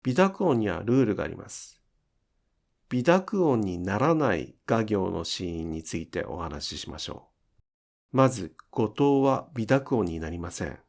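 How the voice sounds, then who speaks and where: A man teaching pronunciation in an instructional style, enunciating deliberately and carefully for language learners. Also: fairly low pitch, very animated, vocal fry, a little mellow. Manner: deliberate, careful enunciation with demonstrated example words.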